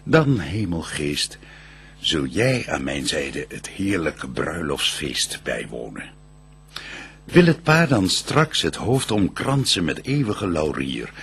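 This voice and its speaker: An actor playing a solemn, reverent archangel, declaiming verse in a stately, measured manner in a radio play. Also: male voice, very deep voice, very animated, creaky, a bit bright, breathy edge, full character voice. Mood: solemn, commanding.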